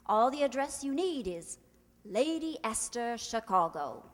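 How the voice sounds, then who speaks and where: Gracious cosmetics spokeswoman played by a woman, confiding sales pitch, lively intonation, old-time radio advert in a reverberant hall. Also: slight background noise. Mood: persuasive. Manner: measured, persuasive. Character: gracious beauty-brand spokeswoman, confidential and persuasive. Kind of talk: vintage radio commercial. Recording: reverberant hall stage recording.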